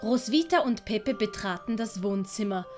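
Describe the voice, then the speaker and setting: A woman's voice: medium pitch, lively intonation, moderate pace, music in the background. A woman narrating a scripted audio drama as a storyteller.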